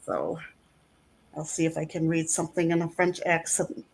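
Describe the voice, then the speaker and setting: A woman's voice: sits low, sing-song, moderate pace, creaky, slightly rough, poor audio. A middle-aged woman chatting as an interview guest, rambling with plenty of fillers. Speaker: actress and guest. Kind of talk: casual interview chat.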